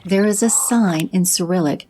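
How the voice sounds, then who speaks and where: A woman narrating an audio drama in a measured, scripted storytelling manner. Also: on the low side, dark tone, faint music behind.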